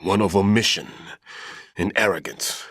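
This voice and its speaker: An actor playing a grave, remorseful older bomb disposal expert in a video game cutscene, speaking solemnly and deliberately. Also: male voice, low-pitched, dry recording, studio quality. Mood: anguished, remorseful. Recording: loud dramatic music behind.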